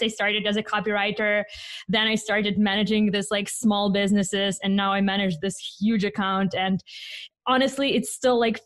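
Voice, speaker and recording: A woman with a Ukrainian accent co-hosting a podcast in casual chat, bubbly and excitable, laughing often. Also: medium pitch, no room sound. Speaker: podcast co-host.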